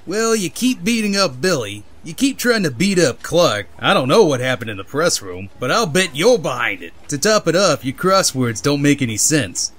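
A man voicing a gruff, exasperated newspaper boss in a comic-book dub, speaking bluntly. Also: fairly high pitch, sing-song, moderate pace, few pauses, a bit bright.

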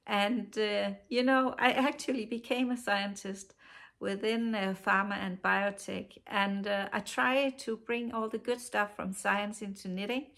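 Woman, Danish accent, middle-aged, slow pace, gravelly voice, casual podcast chat, home sofa. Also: medium pitch, fairly even intonation, a bit bright. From Denmark. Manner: slow, thoughtful, many pauses and filler sounds. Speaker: knitting podcast host. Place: living room, seated on a sofa.

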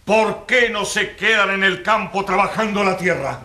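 High-pitched indignant well-off man. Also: normal speed, pushed and bright. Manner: insistent, skeptical. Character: indignant, dismissive well-off man challenging the poor. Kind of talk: dramatized radio debate. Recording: echoey room.

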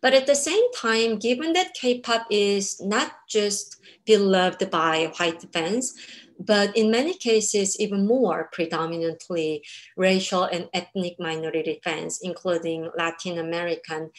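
A woman in her thirties, a native Korean speaker with a Korean accent, giving a measured academic webinar lecture over a video call, with frequent ums. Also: mid-range voice. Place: home room. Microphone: laptop webcam on a video call.

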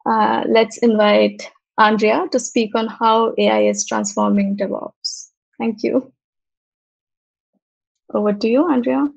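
Gravelly woman explaining tech on a call. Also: on the high side, no room sound. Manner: measured, explanatory, frequent uh fillers. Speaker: software engineer presenting. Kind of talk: technical conference talk. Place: home room, on video call. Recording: slightly muffled, dry video call audio.

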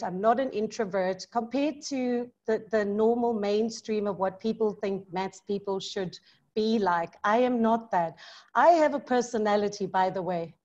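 Outgoing South African woman on a video call. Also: a little high. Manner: lively, emphatic, outgoing. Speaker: maths tutor and business owner. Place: home study with bookshelves. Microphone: laptop mic on video call. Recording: muffled video call audio.

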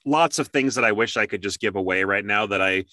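A man's voice: on the low side, fairly quick, bright, forceful tone, dry recording. A man hosting an interview show in an upbeat, chatty, promotional way.

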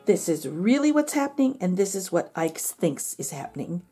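A woman's voice: on the low side, big pitch swings, normal speed, warm tone, breathy edge, soft background music. A woman hosting a children's read-aloud, narrating expressively and adding comments on the book. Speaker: storybook read-aloud host.

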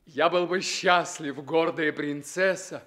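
Casting: noble ardent young prince, radio theatre; high-pitched, slow declamatory pace. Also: male voice, steady tone, a bit bright. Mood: confident, triumphant. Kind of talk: theatrical radio play acting.

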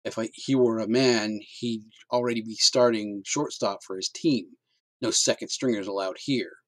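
Storybook bully dad, read by a man: low, harsh, contemptuous, commanding. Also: fairly even intonation, normal speed, dry recording, lightly acted, rough recording. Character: domineering bullying middle-aged father.

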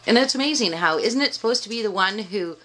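Teasing, lively woman behind the camera. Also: medium pitch, quick pace, noisy background. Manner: teasing, contradicts playfully. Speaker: wife filming behind the camera. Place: small home kitchen.

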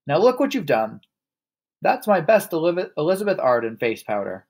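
Man voicing a shrill, scolding middle-aged wife. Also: sing-song, normal speed, darkish tone. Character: shrieking, scornful middle-aged wife and mother.

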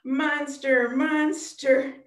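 A woman's voice: high-pitched, steady tone, drawn-out words. A middle-aged woman leading an interactive storytime for young children, asking simple questions in short phrases over a video call. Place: small room at home, indoors. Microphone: computer mic on a video call, a step away. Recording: roomy echo, webcam video call.

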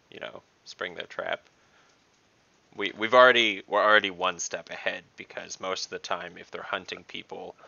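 A man with a low, gravelly voice that still has a forceful edge. He is a tabletop player, explaining things in a plain, matter-of-fact, casual way over slightly dull call audio. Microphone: computer microphone on a video call.

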